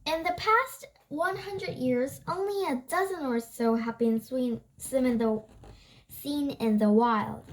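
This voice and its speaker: A young-sounding reader reads a nonfiction book aloud haltingly, stumbling over words and correcting themselves. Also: high voice, poor audio.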